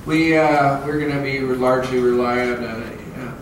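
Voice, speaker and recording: A man's voice: medium pitch, steady tone, a little slow. A man in his thirties on a political panel, speaking with many fillers and pushing for action, on a handheld mic. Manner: many filler uhs, emphatic calls to act. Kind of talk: panel debate answer. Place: large hotel meeting room. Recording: far-off echoey hall with noise.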